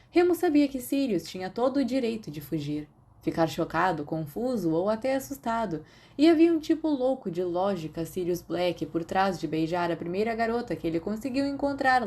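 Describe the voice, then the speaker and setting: Mellow Brazilian woman narrating a story. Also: fairly low pitch. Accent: Brazilian Portuguese. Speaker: audiobook narrator. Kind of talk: scripted fan fiction narration.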